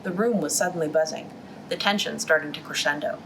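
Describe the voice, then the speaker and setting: A young woman reading a novel aloud at a library storytime, in an even reading voice with quick restarts after slips. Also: low voice, slight background noise, poor audio.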